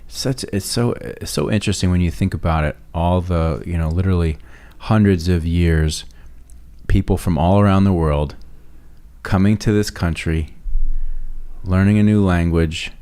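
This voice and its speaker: A middle-aged man hosting a relaxed interview, his voice very low and clear. He muses thoughtfully and tends to repeat words as he gets going. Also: moderate pace. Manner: musing, repeats words when starting. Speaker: podcast host. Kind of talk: casual interview.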